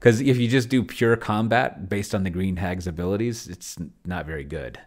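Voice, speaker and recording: A middle-aged man with a low voice hosts an unscripted video walkthrough. He thinks out loud in a rambling way, often dropping one thought to start another. He is speaking into a close desk microphone indoors. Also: normal speed. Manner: rambling, trailing off mid-thought. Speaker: video host. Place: indoor room at home, desk setup. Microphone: desk microphone close to the mouth.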